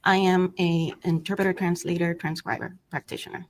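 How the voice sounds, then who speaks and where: A middle-aged woman from the Miami area, born in Cuba with Spanish as her first language, testifying as a witness in a courtroom with a hesitant manner, listing terms with pauses. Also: fairly low pitch, level tone, slight background noise.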